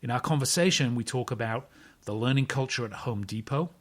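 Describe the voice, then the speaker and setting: A man hosting a podcast, who reads the episode introduction and then asks interview questions in a friendly, measured way. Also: mid-range voice. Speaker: podcast host.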